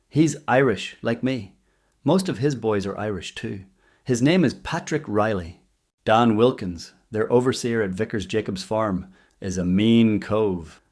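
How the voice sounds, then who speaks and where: A man's voice: fairly low pitch, a little mellow, close to an everyday voice. A man playing a loyal, plain-spoken Irish convict servant in a dramatised history podcast.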